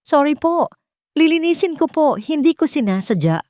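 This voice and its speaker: Woman acting a meek, high-voiced young housemaid. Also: big pitch swings, normal speed, darkish tone, dry recording, clean studio sound. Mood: frightened, pleading. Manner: pleading, deferential. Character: meek, frightened young housemaid, gentle and apologetic.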